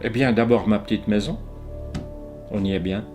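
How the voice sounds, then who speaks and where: A man in his eighties, raised in Ticino, speaks French slowly and haltingly, often pausing and repeating words as he reflects on his life as a painter. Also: fairly low pitch, over music. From Ticino, Switzerland. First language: Ticinese dialect. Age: 80s. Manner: slow, hesitant, many pauses and repeated words. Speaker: painter interviewed. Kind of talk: reflective interview answers.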